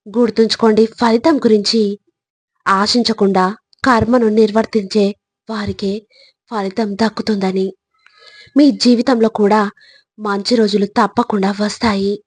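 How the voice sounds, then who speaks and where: A woman delivering scripted motivational narration in short, deliberate phrases, earnestly urging the listener on. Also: mid-range voice, steady tone, a little mellow, husky, no echo. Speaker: motivational speaker.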